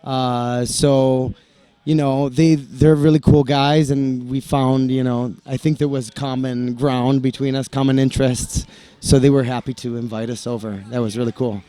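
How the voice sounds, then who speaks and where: A man's voice: mid-range voice, little pitch movement, normal speed, dark tone, smooth, dry recording. A young American man, bilingual in English and Italian, answering interview questions in a hesitant, restarting way. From United States. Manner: hesitant, many restarts and fillers. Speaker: band member, interview guest. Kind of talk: casual interview talk.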